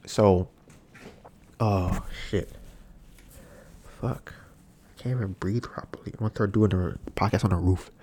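A man's voice: unhurried, pauses often, slight background noise. Congested man ranting casually. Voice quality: congested, nasal. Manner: animated, mocks others in high put-on voices, swears. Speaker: podcast host. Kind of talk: casual solo rant.

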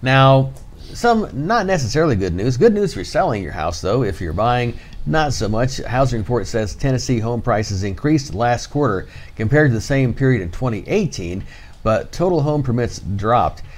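A man's voice: medium pitch, normal speed. A man presenting the news segment on a morning show, chatty and telling stories between headlines. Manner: lively, chatty storytelling. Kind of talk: casual news chat.

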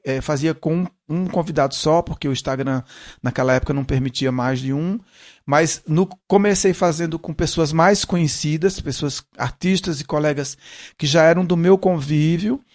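Middle-aged male interview guest, Brazilian Northeast accent mellowed by São Paulo, fairly high register, repeats words while explaining. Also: moderate pace, darkish tone. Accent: Northeastern Brazilian, softened by São Paulo. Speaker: guest, musician. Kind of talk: casual interview conversation.

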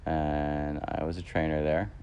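A man's voice: rumbling low, flat delivery, measured pace, a little mellow, slight background noise. A man in his thirties co-hosting a casual podcast with his partner, talking in a rambling way with many fillers and self-corrections. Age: 30s. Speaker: podcast co-host. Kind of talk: casual couple chat.

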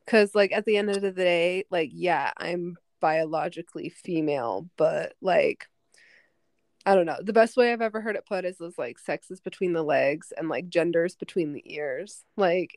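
A woman in her twenties, a podcast guest in casual chat, who speaks calmly and fills her speech with "like". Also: fairly low pitch, moderate pace, no room sound. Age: 20s.

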